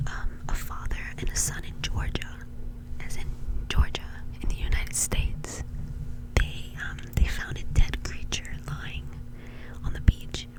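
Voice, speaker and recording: A woman whispering breathily as she hosts an ASMR video, telling a story in a casual, conversational way. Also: low-quality recording. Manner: casual, informal, conversational phrasing.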